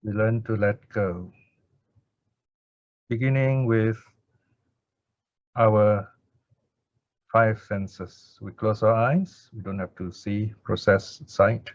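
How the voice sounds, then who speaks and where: A low-pitched man leads a meditation session at a very slow pace. He speaks in measured, short phrases with plenty of pauses, as a teacher guiding practice. The recording is dry and somewhat dull. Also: steady tone, no room sound. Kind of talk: slow guided meditation instruction and Pali chanting. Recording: dull lo-fi audio.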